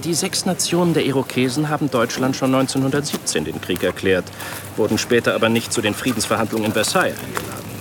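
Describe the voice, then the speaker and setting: Actor as erudite, formal army sergeant, noisy surroundings. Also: male voice, medium pitch, lively intonation, fast, close to an everyday voice. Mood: erudite, assured. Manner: formal, polite, eloquent. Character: erudite Iroquois US Army sergeant, seasoned, supremely self-assured scout. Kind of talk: German radio play dialogue. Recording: clearly noisy outdoor ambience behind.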